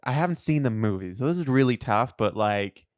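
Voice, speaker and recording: A man's voice: medium pitch, expressive, fairly quick, no echo, studio quality. A man co-hosting a podcast in casual, rambling, slangy chat, often acting out imagined lines of dialogue. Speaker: podcast co-host. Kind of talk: casual pop culture chat.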